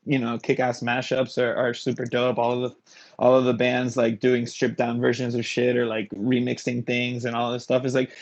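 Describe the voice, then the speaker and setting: A man's voice: medium pitch, monotone, normal speed. A man from Miami chatting casually as a musician guest, with a slangy, emphatic way of talking. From Miami, Florida, United States. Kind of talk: casual chat. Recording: dull, lo-fi voice pickup.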